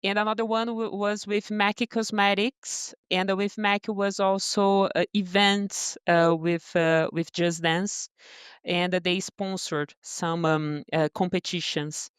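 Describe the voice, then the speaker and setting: A woman from Brazil with a Brazilian accent, answering interview questions with many pauses, fillers and restarts. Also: mid-range voice, flat delivery, clean tone, no echo. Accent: Brazilian Portuguese. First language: Portuguese. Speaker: guest, brand partnerships director.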